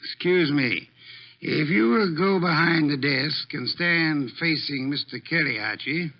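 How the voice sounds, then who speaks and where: An imperious, pedantic genius detective in a classic radio drama. His voice sits a little high for a man, and he speaks in formal, exact phrases with pompous authority. The vintage recording is muffled. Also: a little slow. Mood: probing, assured. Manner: pompous, formal, precise diction. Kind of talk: 1940s-50s radio mystery acting.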